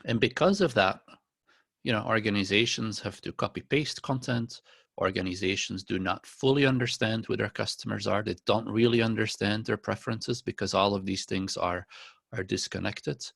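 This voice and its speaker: Middle-aged man, Dutch native, relaxed presenter with fillers; dry, close sound. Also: fairly low pitch, normal speed, vocal fry, no echo. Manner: relaxed, uses many fillers and restarts. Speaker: company founder presenting to partners. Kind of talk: corporate presentation.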